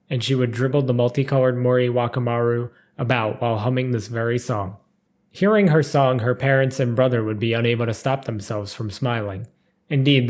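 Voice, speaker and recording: A man reading a scripted story aloud as narrator, with formal, careful delivery. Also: medium pitch.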